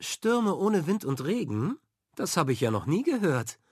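A man voicing a cheerful young woman teacher, bright and curious. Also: fairly high pitch, big pitch swings, measured pace, breathy edge, no echo, studio quality, voice pushed up, exaggerated voice.

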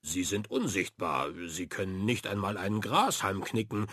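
A man's voice: on the low side, animated, moderate pace, fairly bright tone, breathy edge, no echo, studio quality, pitched below the performer's own voice. A man doing a bumbling pirate captain. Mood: sheepish. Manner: bumbling, mumbling, then boisterous. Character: cheerful bearded pirate captain, middle-aged.

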